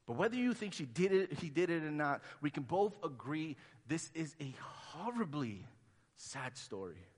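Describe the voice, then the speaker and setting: A man's voice: on the high side, very animated, normal speed, breathy edge. A man from Honduras, a pastor in his thirties, preaching a sermon in a conversational way and acting out the quotes he tells. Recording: long hall reverb.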